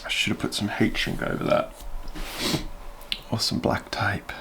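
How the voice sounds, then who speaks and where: A man's voice: fairly low pitch, fairly even intonation, unhurried, creaky. A man with the flu, sounding sniffly, thinking aloud as he does his own wiring inside a small boat cabin. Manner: thinks aloud hesitantly while working with his hands. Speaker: boat owner doing DIY wiring. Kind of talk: casual vlog narration while working. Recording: noisy background.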